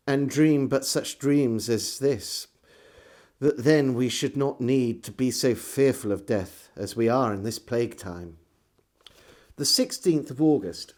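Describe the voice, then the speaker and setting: A man's voice: mid-range voice, a little mellow. An English man giving a scripted, theatrical reading in the manner of a storyteller introducing and narrating an old diary. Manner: measured, theatrical delivery. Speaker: storyteller and host.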